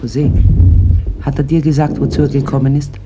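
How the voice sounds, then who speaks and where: A woman's voice: very deep voice, level tone, fairly quick. A woman playing a bitter, stern widowed mother in a radio play, speaking tersely and with guarded authority. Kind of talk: German radio play acting. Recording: old, noisy radio recording.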